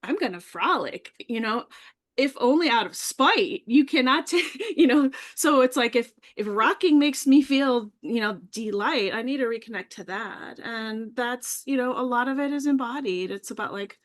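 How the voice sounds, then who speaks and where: A woman's voice: a little high, expressive, moderate pace. A woman guest talking casually on a live video call. She sounds easygoing and chatty, and laughs readily.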